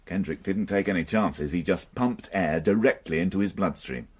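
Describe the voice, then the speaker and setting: An actor playing a weary, brooding police inspector in a radio drama, grumbling and hesitant. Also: male voice, low-pitched, moderate pace, husky. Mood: brooding, bitter.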